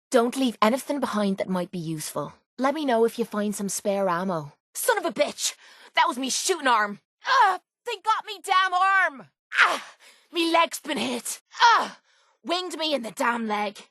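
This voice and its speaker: A woman's voice: on the high side, animated, moderate pace, fairly bright tone, dry recording, clean studio sound. Brash Irish mercenary woman. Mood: pained, alarmed. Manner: blunt, cocky, slangy. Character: tough, brash, sarcastic young woman mercenary companion. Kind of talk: video game companion barks.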